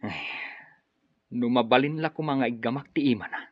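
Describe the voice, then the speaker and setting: Actor playing a scheming man, swinging pitch. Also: lively intonation, moderate pace, no room sound, lightly acted. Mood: gloating. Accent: Ilocano Filipino. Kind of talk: Filipino radio drama acting. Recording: dull lo-fi radio, music behind.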